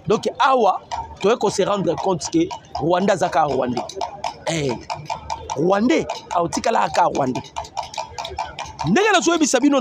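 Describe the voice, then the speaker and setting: A Congolese man talks through the political news with emphatic energy. His voice sits high and leaps around, and he hammers key phrases again and again. He blends French and Lingala as he builds his case. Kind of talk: animated political commentary.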